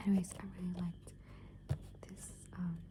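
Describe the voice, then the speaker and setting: Girl in her 20s doing whispered asmr rambling, super hushed, thinking out loud, noticeable noise behind her. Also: fairly low pitch, level tone, moderate pace. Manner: casual, meandering, thinking aloud. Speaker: ASMR creator. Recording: clearly noisy background hiss and ambience.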